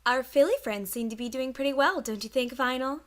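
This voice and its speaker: A woman's voice: sits high, fairly quick, lightly acted. A woman voicing a playful, gossipy pony who talks in a coy, teasing way in a story reading. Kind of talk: audiobook character acting.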